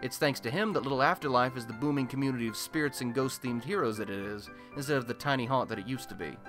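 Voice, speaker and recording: A man's voice: medium pitch, fast, music in the background, lightly acted. A man playing a chatty, wry radio host in a superhero city, telling scripted comic stories in a colloquial, theatrical way for a radio play. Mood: dramatic, amused storytelling.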